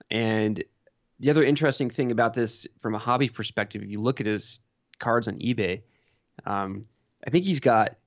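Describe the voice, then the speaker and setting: Male co-host, lower-pitched, informal sports podcast chat, dry but slightly dull audio. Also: no room sound. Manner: informal, conversational. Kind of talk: casual sports and hobby chat.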